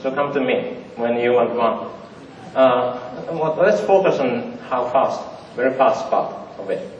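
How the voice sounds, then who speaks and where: A man's voice: mid-range voice, a little slow, a little hiss or hum. Man joking through a talk in an echoey hall. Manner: joking, plain non-native phrasing with many fillers. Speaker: conference presenter. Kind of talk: conference talk with audience. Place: large theater. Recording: dull, roomy audience recording from far off.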